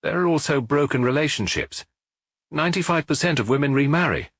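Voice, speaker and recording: Guy voicing the detective's wife, brisk and matter-of-fact, a bit higher and husky, storybook. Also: fairly bright tone, dry recording, studio quality.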